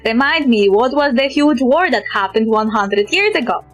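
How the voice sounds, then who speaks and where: A woman's voice: on the high side, expressive, normal speed, soft background music. A woman with a Polish accent chatting casually on a live game stream, joking and opinionated. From Poland.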